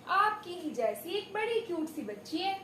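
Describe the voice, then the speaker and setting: Very high-pitched woman teacher in echoey room. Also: sing-song, a bit bright. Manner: theatrical, rhetorical questions, deliberate emphasis. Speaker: primary school teacher giving a demo lesson. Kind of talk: expressive classroom storytelling and teaching to children. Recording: echoey room with background noise.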